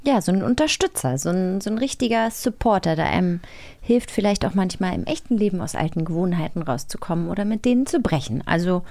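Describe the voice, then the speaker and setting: A woman's voice: on the low side, expressive, quick pace, warm tone. A woman chatting casually as a podcast co-host. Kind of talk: casual chat.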